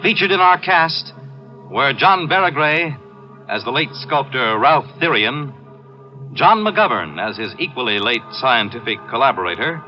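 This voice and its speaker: A man with an American accent reading scripted credits as a formal, emphatic 1950s radio announcer. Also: mid-range voice. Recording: muffled old radio broadcast, faint music.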